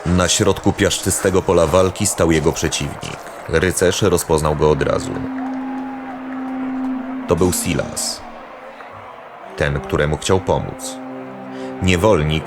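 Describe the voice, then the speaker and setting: A man narrating a scripted fantasy audio drama as its storyteller. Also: sits low. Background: loud music.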